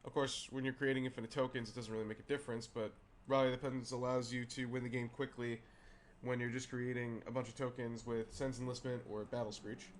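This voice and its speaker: A man with a raspy voice who is clearly under the weather hosts a casual card game show. He walks through a deck at a middling pitch, hesitating often with fillers and restarts. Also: little pitch movement, a little hiss or hum. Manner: halting, many fillers and restarts. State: unwell, raspy voice. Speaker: host.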